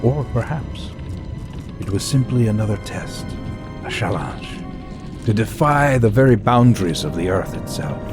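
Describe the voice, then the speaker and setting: A grave thoughtful professor in an audio drama, low voice, swings a lot, music behind. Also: male voice, animated, unhurried. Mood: brooding. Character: grave, thoughtful professor narrating his voyage, anxious when pleading. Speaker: narrator and lead character.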